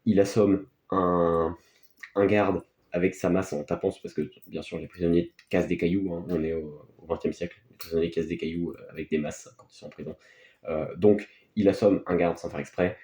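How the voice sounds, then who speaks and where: Low-voiced Frenchman in an echoey room. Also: fairly even intonation, normal speed, darkish tone. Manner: hesitant, rambling, offhand delivery. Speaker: film review host. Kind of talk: casual unscripted film commentary. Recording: echoey, roomy sound.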